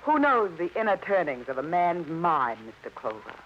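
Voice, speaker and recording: An actress playing a cold, hard widow in a 1940s radio crime drama, clipped and detached. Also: female voice, lowish voice, big pitch swings, moderate pace. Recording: dull, faintly noisy vintage radio recording.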